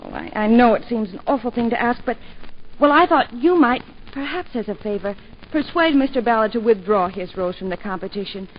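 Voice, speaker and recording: An actress playing a forthright young upper-class English woman in a 1940s radio drama, quick and stumbling over her words. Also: fairly high pitch, expressive, a little hiss or hum, close to an everyday voice. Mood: earnest. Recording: dull lo-fi 1940s radio broadcast.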